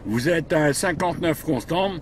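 A man's voice: fairly high pitch, moderate pace. A man working as a radio operator from a parked vehicle, talking in procedural radio chat with frequent tag words. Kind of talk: radio contact chat with spelled-out call signs. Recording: background noise in a vehicle.

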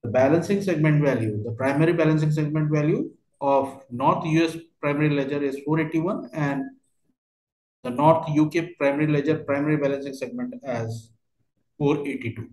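A man working through a step-by-step software tutorial as a trainer, speaking in halting, fragmented phrases. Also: on the high side. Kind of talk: step-by-step screen tutorial narration. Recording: roomy, echoey voice recording.

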